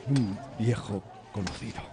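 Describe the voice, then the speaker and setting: An actor in a radio play playing a grave, weary priest haunted by an old foe, solemn in delivery. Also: male voice, fairly low pitch, measured pace, background noise. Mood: weary, troubled. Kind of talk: radio theatre acting. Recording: radio drama with music behind.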